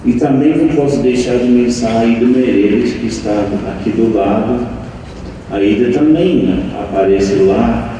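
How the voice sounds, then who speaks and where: An older man who learned Portuguese as an adult, a meditation teacher giving a slow, reflective anniversary speech to an audience, with frequent pauses and restarts. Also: medium pitch, warm tone. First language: not Portuguese. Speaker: meditation teacher and center leader. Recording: echoey room, distant mic, faint noise.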